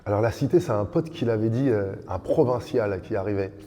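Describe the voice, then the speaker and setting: A man's voice: on the low side, a little fast, mellow, long reverb tail. A man in his fifties, a podcast guest telling his life story in an earnest, reflective way, piling up lists with rising emphasis. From born in Dakar, raised in France. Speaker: podcast guest, kickboxing champion.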